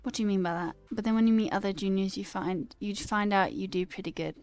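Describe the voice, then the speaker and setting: A woman's voice: medium pitch, fast talker, a little mellow. A young woman with a put-on British accent, chatting casually on a livestream and reading comments aloud in a self-deprecating, theatrical way, music behind her. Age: young adult. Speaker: live coding streamer.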